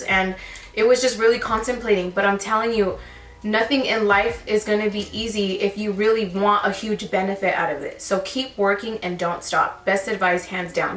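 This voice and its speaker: A woman's voice: medium pitch, fairly bright tone, far from the mic. A young woman in her twenties talks in a chatty, easygoing way, calling her listeners girl and sis. She sits a little back from the mic, and her voice carries the echo of the room she is in. Faint music plays underneath. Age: 20s. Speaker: lash technician answering viewer questions. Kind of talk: casual Q&A chat while sipping wine.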